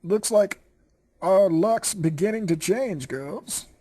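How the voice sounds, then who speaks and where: A young cartoon pony filly acted out by a man, speaking in a high voice. The delivery is slow, stilted and theatrical, like a storybook character read aloud. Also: lively intonation, warm tone, slight background noise. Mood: excited. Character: young cartoon pony fillies chatting about their discovery.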